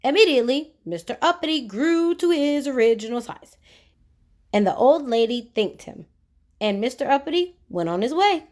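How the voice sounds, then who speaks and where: A woman reads a children's storybook aloud in a fairly high voice. Her pitch swings widely as she narrates. She is expressive and speaks directly to her young listeners, often with questions. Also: normal speed.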